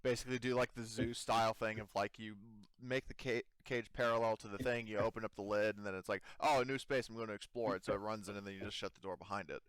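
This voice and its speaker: A man acting as game master, narrating a tabletop game casually and haltingly as he improvises. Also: fairly low pitch, steady tone, clean tone, no echo, poor audio. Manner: halting, conversational.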